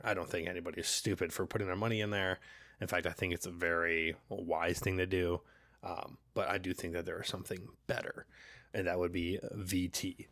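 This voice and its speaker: A man with a cold and a nasal voice, hosting a finance channel in a relaxed, chatty way with many fillers. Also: low-pitched, dry recording. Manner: relaxed, filler-heavy, conversational. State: congested with a cold. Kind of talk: casual talking-head explainer.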